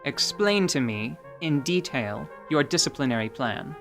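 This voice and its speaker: Man doing an indignant tiefling warrior woman, low cold voice, menacing, over music. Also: expressive, unhurried, gravelly. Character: fierce female tiefling mercenary, indignant and menacing.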